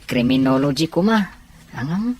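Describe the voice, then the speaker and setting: A woman's voice: rumbling low, expressive, moderate pace. A woman voicing a friendly young college classmate who chats about classes and snacks.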